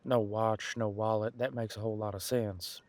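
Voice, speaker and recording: A man's voice: fairly low pitch, flat delivery, normal speed, a little mellow, dry recording, lightly acted. A man playing a casual, wry police detective in an audio roleplay, musing in a conversational, hesitant way.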